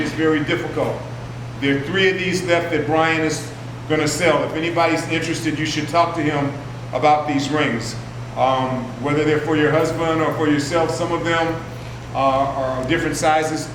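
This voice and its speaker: An older man, a martial arts teacher, speaking in a relaxed, rambling way to a workshop group. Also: a little high. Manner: relaxed, rambling, warmly introducing. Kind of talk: workshop talk to students. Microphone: far from the mic. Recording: echoey room from far off, hum.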